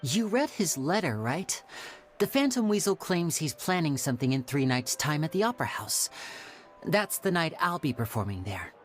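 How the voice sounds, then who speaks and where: A woman voicing a suave, composed young male stage magician for a video game, with a smooth, theatrical showman's delivery. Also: low-pitched, sing-song, moderate pace, a little hiss or hum. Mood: serious, determined. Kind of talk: English video game voice acting.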